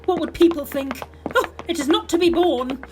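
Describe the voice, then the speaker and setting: A woman's voice: very high-pitched, normal speed, noisy background. A woman playing a fussy, snobbish mother in an audio drama, with affected, primly indignant melodrama. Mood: affronted, melodramatic. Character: fussy, snobbish mother obsessed with literary appearances. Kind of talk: amateur audio drama acting.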